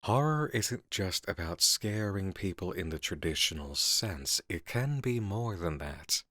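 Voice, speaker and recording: An actor playing a composed, cerebral male coworker in an ASMR roleplay drama, speaking persuasively with deliberate pauses. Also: deep voice, animated, fairly bright tone, no room sound, studio quality, full character voice. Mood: intense, persuasive.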